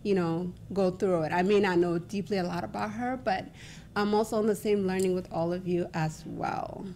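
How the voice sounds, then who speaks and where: A woman hosting a talk show in an informal, encouraging, conversational way over a rumbly background. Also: steady tone, normal speed, dark tone, a little hiss or hum.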